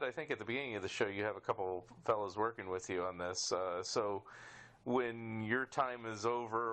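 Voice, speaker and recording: Low-voiced man hosting a casual interview. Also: fairly bright tone. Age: 50s. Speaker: podcast host interviewer.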